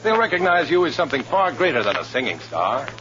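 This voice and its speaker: An actor playing a cool, scheming undercover agent in a 1950s radio drama, speaking in a clipped, smooth, purposeful way. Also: male voice, fairly high pitch, big pitch swings, quick pace. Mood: flattering. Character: cool, scheming male undercover agent posing as communist organizer. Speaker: lead actor and first-person narrator. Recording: dull old radio recording, loud music behind.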